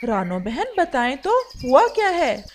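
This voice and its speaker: A woman voicing a kindly, patient, clever pigeon in a cartoon, gentle and reassuring. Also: sits high, big pitch swings, measured pace, slight background noise. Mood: concerned. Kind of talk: Hindi cartoon voice acting.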